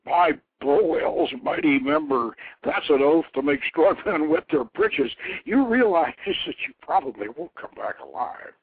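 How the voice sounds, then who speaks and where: A man's voice: a little high, animated, measured pace, few pauses, slightly rough, no room sound, pitched above the performer's own voice, heavily acted. A man voicing a wise old priest-king in a story reading, grave and plain-spoken with an earthy humor. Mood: earthy, amused. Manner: plain-spoken, weighty counsel with archaic oaths. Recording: lo-fi, phone-like internet radio.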